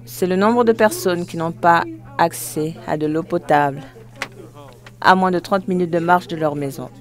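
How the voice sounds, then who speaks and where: An interpreter giving a live spoken translation of a formal speech, phrase by phrase, with halting self-corrections. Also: medium pitch.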